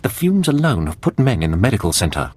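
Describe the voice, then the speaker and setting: A dutiful army captain in a video game. His voice is lowish and husky with varied pitch, and his manner is cautious and respectful. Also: sing-song, fast, darkish tone. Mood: cautious, reporting. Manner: deferential, cautious. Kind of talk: video game cutscene acting.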